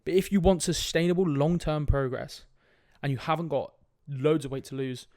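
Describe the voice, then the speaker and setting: Young male podcast host, sniffly with a cold, direct and casual, slightly high voice, dry close mic. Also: fairly even intonation, moderate pace, darkish tone, no echo. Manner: direct, casual, swears, frequent "right?" asides. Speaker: fitness coach podcast host. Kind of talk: casual solo podcast talk.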